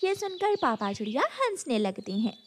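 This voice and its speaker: A woman's voice: high-pitched, very animated, normal speed, slight background noise. A woman storyteller reading scripted narration for a children's cartoon.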